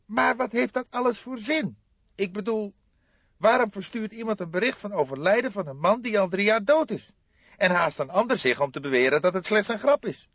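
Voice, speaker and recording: A man's voice: high-pitched, lively intonation, normal speed, fairly bright tone, slightly rough, no room sound, highs cut off, voice pushed up, exaggerated voice. A man voicing an earnest young police detective sidekick in an audiobook, questioning and easily indignant in manner. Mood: puzzled. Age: young adult. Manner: questioning, reproachful, eager. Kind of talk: audiobook character acting.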